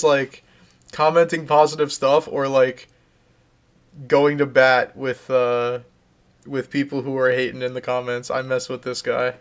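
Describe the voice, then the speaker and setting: A man's voice: medium pitch, smooth. A man streaming live, casually chatting and reading out viewer comments, rambling and restarting his sentences.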